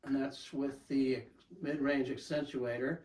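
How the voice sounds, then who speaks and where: A man's voice: mid-range voice, flat delivery, a little slow, darkish tone, reverberant, recorded from a distance, slight background noise, low-quality recording. A man casually reviewing a microphone, talking plainly and counting off mic tests. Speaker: gear reviewer. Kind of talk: casual product demo with mic checks.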